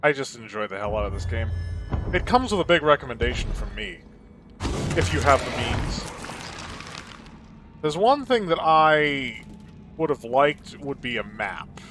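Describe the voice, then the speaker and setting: A man's voice: medium pitch, very animated, unhurried, long pauses, over music. A man hosting a let's play, chatting casually as he plays, with playful theatrical asides. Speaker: host of a gaming channel.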